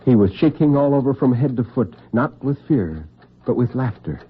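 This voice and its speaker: A husky male narrator tells a suspense story in dramatic old-time radio style. His delivery is deliberate and intense, with wide swings in pitch, and the sound is thin and tinny like a vintage broadcast. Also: big pitch swings. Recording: tinny, band-limited vintage radio recording.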